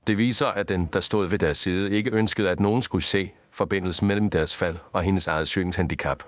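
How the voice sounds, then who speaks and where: Male, low and dry-recorded actor as exacting detective for an audio mystery, formal, grave, measured. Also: fairly even intonation, a bit bright, no echo. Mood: stern, reasoning. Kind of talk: scripted audio story dialogue.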